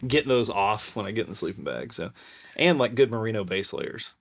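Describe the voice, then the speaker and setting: A man's voice: on the low side, sing-song, fairly quick. A man co-hosting a podcast, chatting casually in a conversational, unscripted way. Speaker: podcast co-host. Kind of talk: casual chat.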